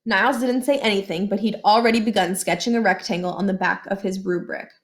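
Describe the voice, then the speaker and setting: A woman narrates a children's novel as a read-aloud. She tells the story in a medium-pitched voice and acts out the characters' dialogue. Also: fairly even intonation, fairly quick. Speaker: reader of a children's book. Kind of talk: read-aloud storytelling with brief explanatory asides.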